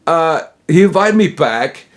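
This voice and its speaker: A man's voice: on the high side, animated, unhurried. Man, 60s, from Utah. Rambling vlogger-storyteller, self-interrupting, chuckly, slangy. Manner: tosses in slangy asides.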